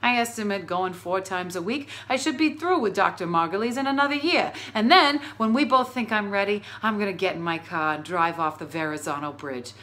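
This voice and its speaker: An actress as a sharp, bitterly sarcastic betrayed wife. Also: female voice, medium pitch, lively intonation, fairly quick, few pauses, lightly acted. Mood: bitter, wry. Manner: emphatic, indignant, biting sarcasm. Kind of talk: theatrical comic stage monologue.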